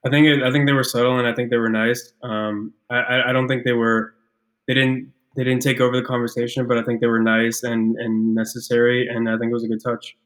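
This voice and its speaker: A man's voice: medium pitch, flat delivery, a little fast. A man from Ecuador, co-hosting a casual podcast, speaking thoughtfully with frequent restarts and repeated words. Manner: thoughtful, stammers and restarts often. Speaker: podcast co-host, clothing brand founder. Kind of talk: casual chat.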